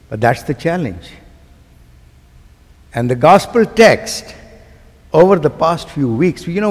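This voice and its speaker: Slow-speaking Pakistani preacher in a reverberant church. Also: male voice, a little high, a little mellow. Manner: rhetorical questions put to the listeners. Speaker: guest preacher. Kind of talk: sermon to a church congregation. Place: large church sanctuary. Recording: long hall reverb of a church sanctuary.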